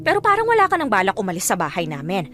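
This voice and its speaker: An actress playing a fed-up, resentful married sister-in-law with a Filipino accent, scolding and sarcastic in a radio drama. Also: female voice, medium pitch, wildly swooping pitch, very fast, fairly bright tone. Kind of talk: Tagalog radio drama acting.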